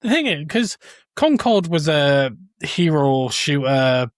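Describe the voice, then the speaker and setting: A man's voice: fairly high pitch, big pitch swings, slow, no room sound, clean studio sound. A man giving his opinions in a casual podcast chat as a co-host.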